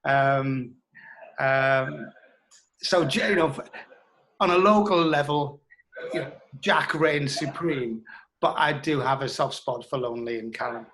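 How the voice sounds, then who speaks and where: A man talking reflectively in an interview, thoughtful and halting, repeating words for emphasis. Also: medium pitch, fairly bright tone, slight background noise, low-quality recording. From North East England. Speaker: interview guest, writer.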